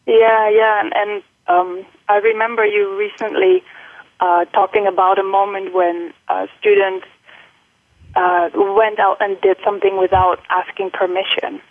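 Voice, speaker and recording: A woman's voice: mid-range voice, little pitch movement, unhurried, no echo. Dutch-accented woman on a phone line. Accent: Dutch, with British and American touches. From Netherlands. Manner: hesitant, many fillers and restarts. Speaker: guest, college faculty member. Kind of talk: interview conversation.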